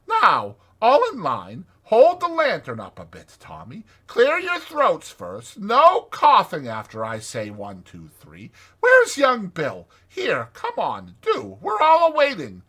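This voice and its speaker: Man voicing a fussy little field mouse, high-pitched. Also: huge pitch swings, takes it slowly, hardly any pauses, bright, forceful tone, breathy edge, full character voice. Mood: fussy, impatient. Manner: fussy, ordering. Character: small field mouse marshalling young carol singers.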